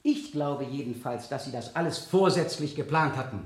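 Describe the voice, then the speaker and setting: An actor playing a stern, sardonic prosecutor in a German radio play, cross-examining with precise, insistent, pointed questions. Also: male voice, medium pitch, lively intonation, moderate pace, natural voice. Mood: accusing. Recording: roomy 1970 studio radio play sound.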